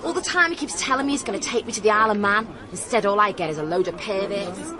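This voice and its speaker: An English actress playing a cheeky, grumbling, streetwise escort in a radio drama, sardonic and colloquial. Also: female voice, medium pitch, quick pace, a crowd in the background, natural voice. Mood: indignant, cheeky. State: coughing, chesty after a soaking. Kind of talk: BBC radio drama acting.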